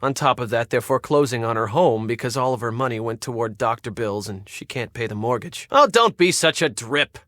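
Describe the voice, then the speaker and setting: An actor playing a bossy, irritable and secretly crooked spa manager in a video game, curt and sarcastic. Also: male voice, medium pitch, expressive, brisk, few pauses, fairly bright tone, dry recording, studio quality, lightly acted. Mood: smug, cold. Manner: curt, sarcastic, barking orders.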